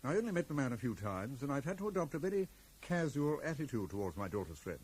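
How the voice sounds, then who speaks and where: An actor playing a middle-aged, very correct British professor in a radio drama, guarded, brusque and easily affronted. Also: male voice, medium pitch, very animated, fast talker, darkish tone, lightly acted. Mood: irritated, indignant. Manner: stiff, formal, brusque. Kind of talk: 1980s radio drama acting. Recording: faintly noisy 1980s radio broadcast.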